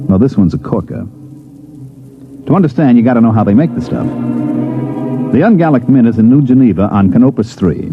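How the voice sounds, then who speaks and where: A man's voice: fairly low pitch, natural voice. A man playing a wry, grumbling government special agent, narrating colloquially in 1950s radio drama style. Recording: muffled old radio recording, loud music.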